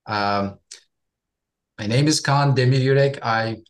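A man in his forties from Turkey, a guest chatting in a relaxed interview, often repeating words as he goes. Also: mid-range voice. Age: 40s. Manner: friendly, with frequent repeated words and quick laughs. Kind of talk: casual interview chat.

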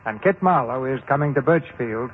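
An American man introduces and narrates a 1940s radio play. He speaks in a formal, measured showman's style at a medium pitch. The sound is narrow and muffled, with faint background noise. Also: expressive. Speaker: radio theater host and narrator. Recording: muffled, noisy old radio recording.

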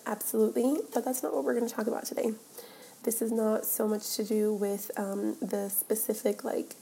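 A woman's voice: mid-range voice, warm tone, poor audio. A young woman hosting a solo podcast, nasal and congested from a cold, talking earnestly with many fillers and restarts. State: stuffy from a cold. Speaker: podcast host. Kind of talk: solo casual podcast talk.

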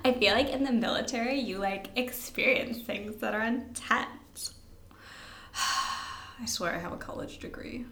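A woman's voice: fairly high pitch, a little slow, a bit bright. A young woman co-hosting a podcast in casual chat, rambling and giggly, poking fun at herself and sounding tired. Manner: giggly, rambling, self-deprecating. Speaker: podcast co-host. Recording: roomy echo.

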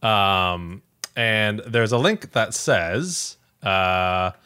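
A man's voice: on the low side, expressive, drawn-out words, sharp tone, smooth. A man hosting a podcast in a casual chat style, conversational and often hedging as he thinks aloud. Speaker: podcast host.

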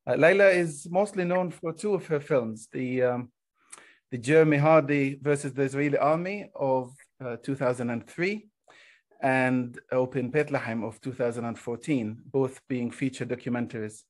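A man's voice: mid-range voice, moderate pace. Polite man moderating a panel. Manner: polite, formal introductions. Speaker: panel host.

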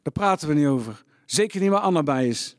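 A wary wartime farmer voiced by a male actor, blunt and protective of those around him. His voice is fairly high for a man and recorded very dry, in radio drama style. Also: expressive, brisk, dry recording, close to an everyday voice. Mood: stern, cautioning. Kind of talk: scripted radio drama dialogue.

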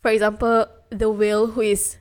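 A woman's voice: on the high side, level tone, normal speed. A woman hosting a podcast interview in a casual, conversational way, reflective and often searching for her words. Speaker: podcast host.

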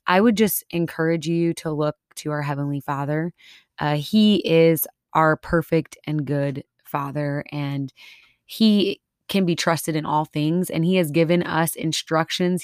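Low-voiced Minnesotan woman podcast host. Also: a little mellow, no room sound, clean studio sound. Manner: encouraging, earnest. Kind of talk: casual faith podcast chat.

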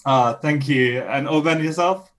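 A man hosting a podcast remotely from London, gushing and excitable, his voice slightly croaky after a coughing fit. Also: a little high, measured pace. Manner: excitable, gushing, laughs often. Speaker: podcast host. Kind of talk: enthusiastic podcast interviewing. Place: home studio in London. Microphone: remote recording.

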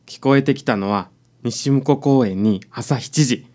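A man playing a hapless, excitable young sidekick in a radio drama. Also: mid-range voice, measured pace, close to an everyday voice. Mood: hushed urgency. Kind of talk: community radio drama acting.

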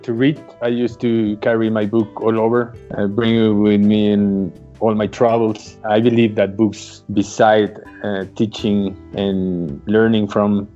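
Soft-spoken Spanish-native man, hesitant. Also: fairly low pitch, muffled recording. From Mexico. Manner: hesitant, many uh fillers, reflective. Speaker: guest. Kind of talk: casual remote conversation.

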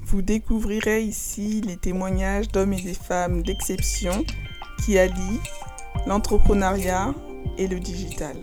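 A woman's voice: mid-range voice, fairly even intonation, unhurried, over music. Young woman hosting a podcast. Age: young adult, student. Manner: friendly, encouraging reactions. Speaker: podcast host. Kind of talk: podcast interview.

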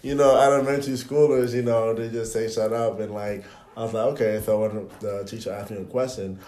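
A man's voice: on the low side, a little fast, roomy sound, slight background noise. A man in his twenties from Benin, whose first language is French, talking casually as a podcast guest and telling stories with plenty of fillers. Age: 20s. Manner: relaxed storytelling with frequent fillers.

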